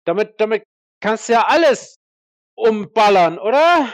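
Man putting on a high-pitched, overexcited rookie soldier. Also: very animated, unhurried, dry recording. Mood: giddy excitement. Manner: eager, stammering, high-strung. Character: overexcited, naive rookie soldier, first time in Iraq.

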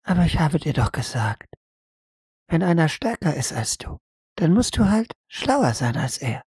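A woman acting in an audio drama as a doting mother, softly soothing her little son. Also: low voice, big pitch swings, unhurried, no echo, studio quality, heavily acted. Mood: tender, soothing. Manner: soft, breathy, doting.

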